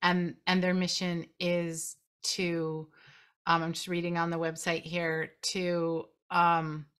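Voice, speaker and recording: A woman's voice: on the low side, monotone, slow pace, noisy background. A woman interviewing a guest in a casual chat, enthusiastic and often restarting her sentences. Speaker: interviewer and company CEO.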